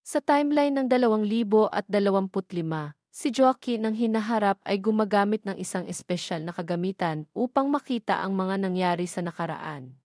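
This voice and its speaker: Woman reading a drama story, mid pitch, dry close voice, steady. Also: a little fast, dry recording. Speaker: narrator. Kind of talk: scripted story narration.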